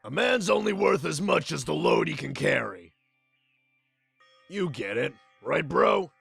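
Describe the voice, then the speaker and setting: A man's voice: fairly high pitch, expressive, unhurried, gravelly, over music, heavily acted. A man voicing a brash, macho teenage biker delinquent in an anime fan dub. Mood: tough-love. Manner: brash and macho, calls people bro.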